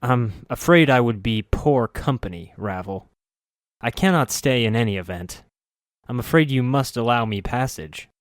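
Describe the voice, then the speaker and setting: A man's voice: mid-range voice, animated, moderate pace, no room sound, studio quality, pitched above the performer's own voice, natural voice. A man voicing a weary half-human male wanderer who speaks earnestly and pleadingly.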